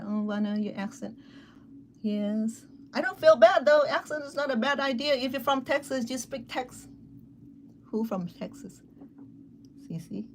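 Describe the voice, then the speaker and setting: A woman's voice: mid-range voice, a little mellow. A chatty middle-aged woman with a Thai accent talks straight to her viewers in an easygoing, personal way. She keeps checking in with them as she goes. There is a faint hum of background noise behind her voice. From Thailand. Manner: chatty, addresses viewers directly with frequent "okay" and "remember". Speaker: cooking channel host. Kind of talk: casual personal storytelling to viewers.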